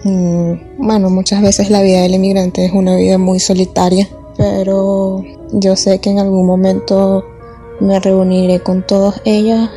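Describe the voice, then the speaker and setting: A woman with a Venezuelan accent, an audiovisual translator, giving a quick, reflective personal testimony. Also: mid-range voice, little pitch movement, warm tone. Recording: voice over faint music.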